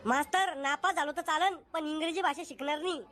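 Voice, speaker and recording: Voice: high voice, fairly even intonation, fairly quick, over loud music, natural voice. A voice actor playing a cheeky, boastful village schoolboy.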